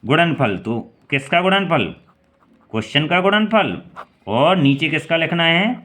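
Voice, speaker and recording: A man's voice: on the high side, lively intonation, measured pace, fairly bright tone. A man in his thirties from India teaching an exam-prep maths lesson, quizzing the listener and answering himself, repeating key steps. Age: 30s.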